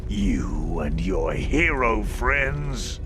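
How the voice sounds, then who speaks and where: A man's voice: lowish voice, very animated, very slow, heavily acted. A man playing an old evil overlord in a video game, gloating and menacing with pompous, theatrical delivery. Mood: gloating menace. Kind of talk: video game cutscene voice acting. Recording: music behind the voice. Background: dramatic game music.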